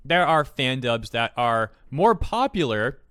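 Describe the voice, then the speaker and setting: A man with a mid-pitched voice delivers opinionated commentary as an online video host. His manner is sarcastic and mocking, leaning on rhetorical questions. The sound is dry, with no room echo. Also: big pitch swings, unhurried, a bit bright, clean tone. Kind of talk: opinionated online commentary, reading out tweets and reacting.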